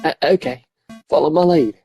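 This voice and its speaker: A man's voice: a little high, normal speed, dark tone, soft background music, pitched above the performer's own voice, lightly acted. A man voicing a shy, lonely, apologetic game character who stammers and speaks in a put-on accent. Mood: awkward. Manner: hesitant, stammering, self-effacing. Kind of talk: reading game dialogue aloud.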